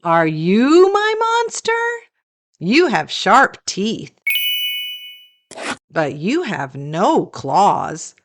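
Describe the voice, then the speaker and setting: Lady doing storytime for kids, reads really slow n deliberate, super expressive up and down. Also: medium pitch. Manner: deliberate, questioning storyteller delivery. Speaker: children's storytime host. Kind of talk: animated slow read-aloud of a picture book for children.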